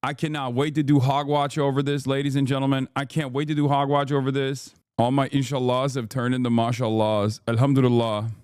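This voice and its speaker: A man's voice: medium pitch, little pitch movement, fairly quick, hardly any pauses, a little mellow, clean tone, dry recording, clean studio sound. A man in his thirties with a Texan accent, hosting a live stream with hyped, profane, rambling commentary. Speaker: streamer host.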